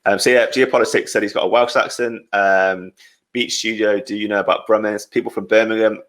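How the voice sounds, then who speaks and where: A man's voice: on the low side, flat delivery, a little fast, fairly bright tone. A young man from the English Midlands hosting a casual podcast, chatty and reading out viewer comments with frequent laughs. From England, Midlands. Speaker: podcast host.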